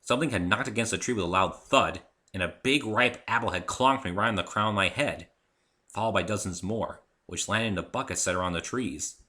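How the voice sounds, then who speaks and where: A man reading a first-person story aloud in an expressive, theatrical amateur narration. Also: lowish voice, a little fast. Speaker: amateur reader of own story.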